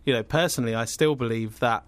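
A man with a raspy voice, a podcast co-host chatting casually and reading out listener comments. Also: medium pitch, fast, no echo.

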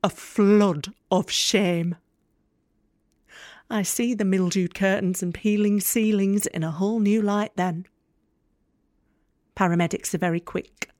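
A woman's voice: medium pitch, steady tone, takes it slowly, long pauses, darkish tone, no room sound, natural voice. A woman acting a bitter young working-class carer in an audio drama monologue, wry, blunt and sarcastic. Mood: guilty, shaken. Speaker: actor in an audio play.

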